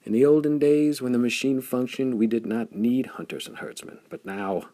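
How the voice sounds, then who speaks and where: A man's voice: mid-range voice, moderate pace, mellow, no echo, voice pushed up, natural voice. A man voicing a grave, bitter commander in an audiobook, speaking in formal, emphatic declamation. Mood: grim, weary. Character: grave, bitter male commander warning of raiders.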